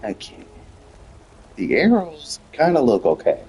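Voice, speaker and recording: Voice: medium pitch, wildly swooping pitch, a little slow, a little mellow, faint music behind, poor audio. A streamer chatting casually while playing, talking to themself and a friend. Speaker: gaming streamer. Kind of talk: casual gameplay commentary.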